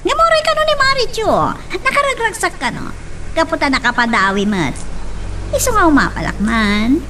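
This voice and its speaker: A woman acts out a spoiled, jealous younger woman in an Ilocano radio drama. Her voice is high and swings wildly as she complains with an Ilocano accent. She sounds irritable and whiny. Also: sing-song, normal speed, heavy background noise. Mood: irritated, whining.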